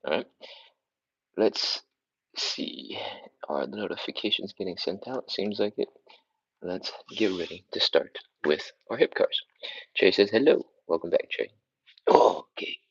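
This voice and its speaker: A very low-voiced man with a cold leads a home stretching session, counting reps in short cues between breathy sighs. The sound is dull and lo-fi, like a webcam mic across the room. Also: expressive, a little slow, pauses often. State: sniffly from a cold. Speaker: stretching instructor. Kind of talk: live-streamed workout coaching. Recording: slightly dull, lo-fi stream audio.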